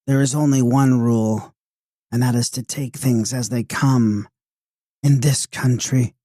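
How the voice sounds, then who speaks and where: A man's voice: medium pitch, fairly even intonation, unhurried, warm tone, no room sound, studio quality, natural voice. A man voicing a mysterious old lady guide who speaks in a repetitive sing-song. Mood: confiding.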